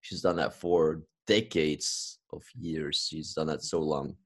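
A man's voice: rumbling low, steady tone, slow, breathy edge. A man from Belgium chatting casually as a podcast host, explaining his views in an earnest way. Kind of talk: casual conversational discussion.

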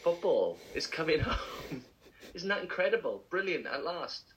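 A man co-hosting a casual podcast, chatty and animated, laughing often as he tells stories. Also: a little high, moderate pace, slight background noise, low-quality recording. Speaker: podcast co-host. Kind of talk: casual chat.

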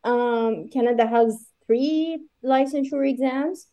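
A woman from Iraq speaks as a guest in an interview, fairly high-pitched yet with a mellow, darker tone. She talks in an animated way, full of fillers and quick asides. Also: lo-fi sound. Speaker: guest, pharmacist running licensure courses. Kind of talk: conversational interview.

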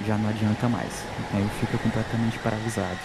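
A man playing a tabletop game, narrating his character in a measured, suspenseful way. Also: on the low side, fairly even intonation, vocal fry, very noisy.

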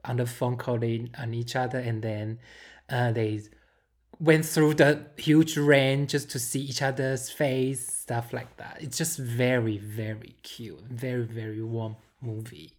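A woman's voice: very deep voice, steady tone, measured pace. A woman, chatting casually as a podcast co-host, giggly and prone to mixing up names. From Taiwan. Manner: giggly, mixes up names, repeats words. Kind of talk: casual chat about films.

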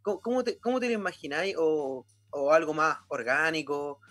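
A man's voice: fairly high pitch, moderate pace, fairly bright tone, faint music behind. A man with a Chilean accent interviewing a guest, asking loose questions and restarting often. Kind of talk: casual interview.

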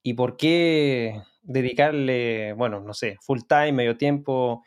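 A man's voice: medium pitch, takes it slowly, clear, resonant tone. A man hosting a podcast, welcoming a guest and asking questions in an upbeat, emphatic way. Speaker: podcast host. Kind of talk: read sponsor ad and podcast hosting.

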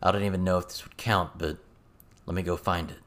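A man playing a calm, laconic, protective adult man in an audiobook, in a terse, understated manner. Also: low-pitched, a little fast. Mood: thoughtful.

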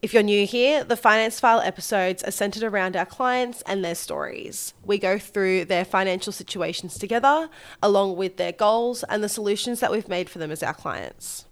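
A woman's voice: mid-range voice, moderate pace, clean tone. An Australian woman with a slight head cold, presenting a scripted solo podcast as co-host and mortgage broker. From Gold Coast, Australia.

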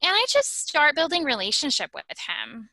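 A young woman who grew up in Ecuador talks through her story with bubbly energy. Her voice is strikingly bright and sharp. The sound is close and dry. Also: sits high, huge pitch swings, normal speed, no echo. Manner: bubbly, storytelling, frequent laughs. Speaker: guest, small business owner. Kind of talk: casual podcast interview.

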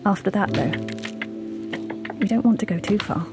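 A woman playing a thoughtful, conciliatory bird in an audio drama, speaking with deliberate hesitation. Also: mid-range voice, music in the background, natural voice. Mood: earnest, cautious. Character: measured, conciliatory, thoughtful female bird, a voice of caution.

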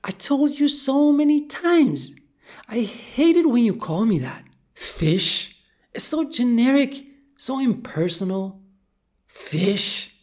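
A dramatic little female goldfish, as a storyteller acts her out, high-pitched and loud. She is touchy and chatty, stressing her words like a storybook diva. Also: expressive, slow pace. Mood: indignant. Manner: indignant, chatty, emphatic.

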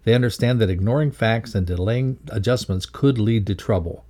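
A man with a dark, mellow and slightly low voice reads a prepared lesson. His delivery is measured and instructive, like narration for a training course. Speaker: reader of a values lesson. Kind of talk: scripted educational narration.

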